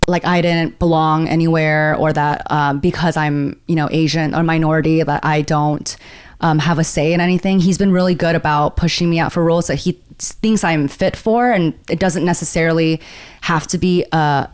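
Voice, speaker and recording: A young woman talks as a podcast guest, reflective and chatty. She fills her speech with "like" and restarts. Her voice sits a little low. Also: fairly even intonation, normal speed, clean tone. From born in Korea, grew up in America. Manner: chatty, reflective, many "like" fillers. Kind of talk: casual podcast chat.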